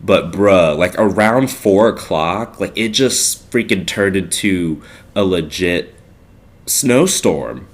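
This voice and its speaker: A man's voice: deep voice, expressive, slow. A young man co-hosting a casual podcast, congested with a stuffed-up nose, rambling with lots of fillers. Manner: rambling, filler-heavy, laid-back. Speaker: podcast co-host. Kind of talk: casual chat.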